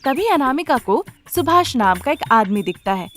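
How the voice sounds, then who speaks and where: A woman's voice: sits high, sing-song, normal speed, soft background music. A woman narrator reading a scripted moral story for a cartoon.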